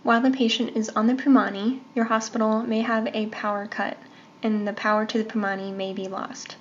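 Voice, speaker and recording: A woman's voice: on the high side, flat delivery, a little fast, muffled recording, slight background noise. A woman trainer giving a step-by-step instructional demonstration of equipment.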